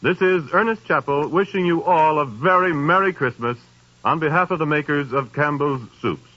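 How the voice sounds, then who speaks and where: A man making formal, crisp announcements as a 1930s radio announcer. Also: on the high side, moderate pace. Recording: dull lo-fi 1930s radio broadcast with music.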